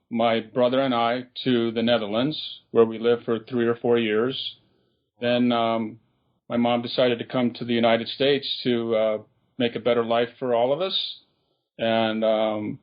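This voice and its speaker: A man from Brazil telling his story as a podcast guest, in a halting way full of ums and uhs. His pitch is middle for a man, and the sound is dull and somewhat lo-fi. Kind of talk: casual interview storytelling.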